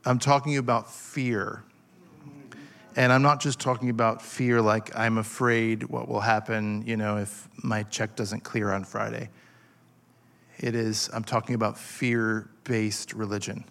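A man's voice: lowish voice, little pitch movement, vocal fry, a bit bright. Impassioned man in his forties preaching. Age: 40s. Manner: impassioned, halting restarts with frequent fillers. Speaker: pastor. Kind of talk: sermon.

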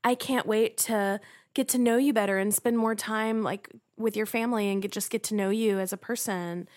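A woman co-hosts a casual podcast and recaps a reality show. She gives frank, opinionated takes and leans on fillers as she talks. Her voice sits at an ordinary middle pitch. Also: fairly even intonation, normal speed, darkish tone. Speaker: podcast co-host.

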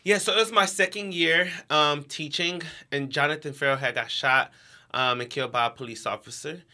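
A man's voice: on the high side, normal speed, bright, forceful tone. A young American man speaking informally as an interview guest, peppering his talk with "like". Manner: informal, heavy use of "like". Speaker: interview guest, education nonprofit co-founder. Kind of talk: casual conversational interview.

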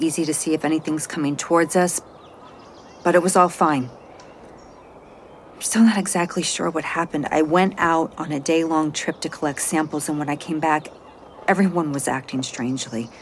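A woman's voice: low voice, fairly even intonation, normal speed, slight background noise, close to an everyday voice. An actress playing an anxious, flustered botanist on a space mission, hesitant and self-doubting as she gives a report in an audio drama. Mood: worried, explaining. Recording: moody music score underneath.

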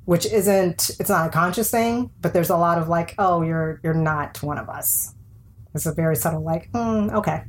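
A woman's voice: lowish voice, normal speed, a little hiss or hum. A woman co-hosting a podcast, talking candidly and wryly, often acting out what others said. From American South. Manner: candid, wry, acts out quoted remarks. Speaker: podcast co-host. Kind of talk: casual conversation.

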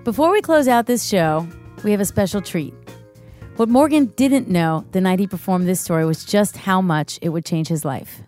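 A woman's voice: medium pitch, moderate pace, a little mellow, faint music behind. A woman hosting a podcast, asking questions in a conversational interviewing style.

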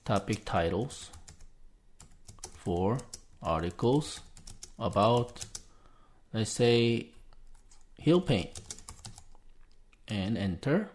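A man's voice: on the low side, animated, drawn-out words, long pauses, a little mellow. A man whose first language is Korean, hosting a podcast and talking viewers through a casual step-by-step tutorial, narrating each click as he goes. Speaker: podcast host. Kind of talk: casual screen-share tutorial.

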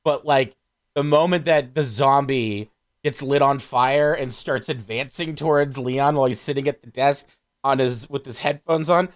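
A man's voice: mid-range voice, moderate pace. A man guesting on a podcast, chatting casually with a wry, sarcastic streak. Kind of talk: casual comedic chat.

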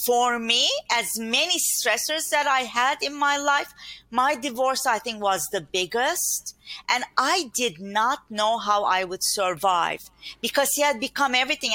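A middle-aged woman with a Turkish accent speaks earnestly as an interview guest. Her voice sits a little high and sounds bright, and she laughs easily between phrases. The sound is close and dry. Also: dry recording. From Turkey. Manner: earnest, laughs often while telling her story. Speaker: guest, stress mastery educator. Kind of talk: podcast interview chat.

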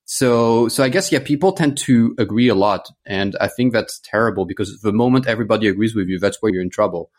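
Low-pitched man from France, chatting informally. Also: a little fast, few pauses, darkish tone. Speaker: interview guest. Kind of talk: casual conversation.

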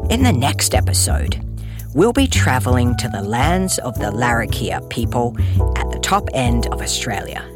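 Podcast host doing credits, mid pitch, really animated and chatty, music playing under. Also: normal speed. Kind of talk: scripted outro narration and credits.

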